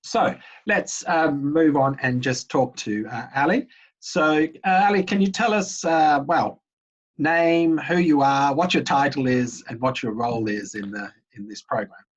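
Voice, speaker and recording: A man in his sixties hosts an informal interview on a video call. His voice is husky and he pauses often mid-sentence. The audio is slightly dull and lo-fi. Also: a little high. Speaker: interviewer, university associate dean. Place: small home room, indoors. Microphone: computer microphone on video call.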